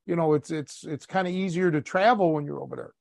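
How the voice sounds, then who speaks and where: American guy on a podcast, gravelly fry in his voice, rambles with lots of ums, dry close sound. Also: fairly high pitch, fast talker, dry recording. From United States. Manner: rambling, with many fillers and restarts. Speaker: podcast host. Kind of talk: casual chat.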